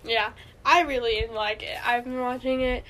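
A woman's voice: fairly high pitch, steady tone, normal speed, a bit bright, rough recording. A young woman co-hosting a podcast in casual, unscripted chat, speaking informally with plenty of "like". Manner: informal, frequent "like" hedging. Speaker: podcast co-host.

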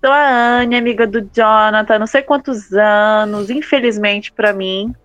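A woman guesting on a podcast talks in a playful, teasing way. Her voice has a middle pitch and sounds dry and close, with no room around it. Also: steady tone, measured pace, fairly bright tone, clean tone, no echo, dull audio. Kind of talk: casual podcast chat.

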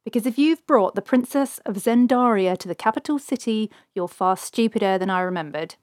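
A man's voice: high-pitched, fairly quick, no room sound, studio quality, pitched above the performer's own voice, natural voice. A man voicing a brash, streetwise half-elf informant who passes as female, with a blunt, sardonic, colloquial delivery in a fantasy audiobook. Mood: scornful. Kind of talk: audiobook character dialogue.

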